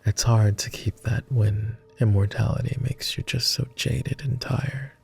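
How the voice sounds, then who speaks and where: A man's voice: fairly low pitch, steady tone, measured pace, gravelly, darkish tone, breathy edge, full character voice. A man voicing a devoted, world-weary immortal vampire companion in intimate roleplay ASMR, speaking tenderly and earnestly in formal phrasing. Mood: wistful, earnest. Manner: tender, earnest, hesitant, somewhat formal speech.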